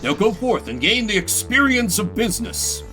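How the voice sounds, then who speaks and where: A grand old-world ghost merchant from a video game, acted out by a man, speaks with formal, theatrical flourish. The delivery is bright and animated, swinging in pitch as it instructs, with music playing behind. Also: normal speed. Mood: grand, instructive.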